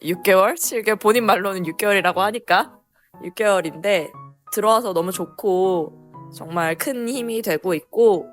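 A woman's voice: mid-range voice, normal speed, soft background music. A young woman with a sore throat from a cold, chatting casually and laughing as a youth center manager in a staff interview. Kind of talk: casual interview among coworkers.

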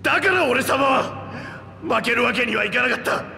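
An actor playing a proud, anguished reptilian warrior with rough, boastful speech in a video game. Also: male voice, very high voice, lively intonation, normal speed, pushed and bright, exaggerated voice. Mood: anguished, desperate. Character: proud two-headed reptilian warrior man, anguished and remorseful. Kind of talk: dramatic video game voice acting. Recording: echoey long reverb under music.

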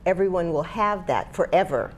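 A woman's voice: lowish voice, expressive, normal speed, slight background noise. An older woman talks casually as a guest on a studio panel. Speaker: panel guest, event organizer. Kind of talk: casual studio interview talk. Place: small indoor TV studio.

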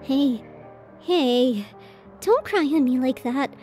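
A woman's voice: high voice, slow, a little mellow, faint music behind. A woman voicing a bashful, tender tsundere college girlfriend in a romantic ASMR roleplay, flustered and hesitant as she swings between protest and affection. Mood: tender, affectionate.

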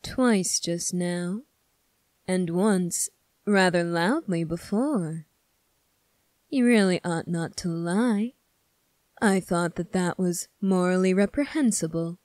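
Woman voicing a slow, smug, mellow demon prince. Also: lowish voice, no echo. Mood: smug, taunting. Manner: slow, condescending, deliberate. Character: smug, scornful male demon prince berating an angel. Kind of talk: ASMR character roleplay.

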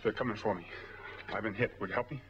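Actor as a low-voiced cornered noir drifter. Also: male voice, quick pace, natural voice. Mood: desperate. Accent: American. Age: middle-aged. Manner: earnest, reasoning. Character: cornered middle-aged drifter, earnest and pleading impostor. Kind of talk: 1940s film noir acting. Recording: dull 1940s film soundtrack.

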